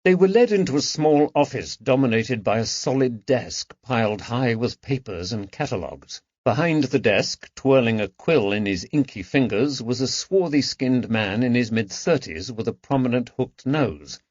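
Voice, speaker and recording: A man's voice: medium pitch, lively intonation, moderate pace, few pauses, darkish tone, dry recording. Guy narrating a historical mystery audiobook, scripted read, dry close sound, bit muffled and lo-fi.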